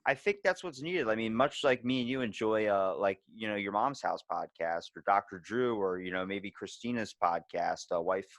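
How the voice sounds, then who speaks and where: A man's voice: fairly low pitch, expressive, normal speed, few pauses, fairly bright tone, no room sound. A man hosting a podcast in casual chat, rambling along in slang with some swearing. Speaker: podcast host.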